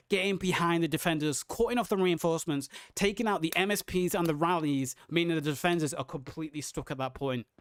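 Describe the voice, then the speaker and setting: A man casting a live online match, his voice hoarse and worn as he narrates the action with rising excitement. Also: dry recording. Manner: excited, builds to high pitch on big plays. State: losing his voice. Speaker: esports caster. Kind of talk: live game commentary.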